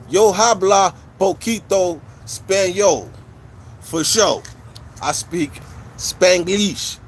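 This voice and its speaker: A man's voice: high voice, slight background noise. Blunt profane man chatting to viewers. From California. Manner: blunt, profane street slang, rambling storytelling. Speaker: livestream host. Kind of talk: casual livestream chat with viewers.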